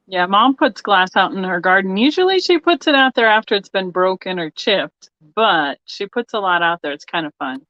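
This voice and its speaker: A soft-spoken woman hosting a live online sale, calling out bids and chatting with her guest. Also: medium pitch, lively intonation, normal speed, hardly any pauses, no room sound. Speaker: host of a live glass sale. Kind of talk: live online auction, casual chat. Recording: dry, dull lo-fi sound.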